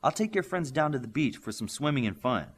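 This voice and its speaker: A man's voice: medium pitch, very animated, a little fast, breathy edge, pitched above the performer's own voice. A man voicing a gracious, practical adult mother who hosts young superheroes in a storybook. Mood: reassuring.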